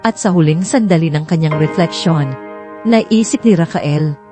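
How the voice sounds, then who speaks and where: A woman reading scripted dramatic story narration in an earnest, measured manner. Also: deep voice, music in the background.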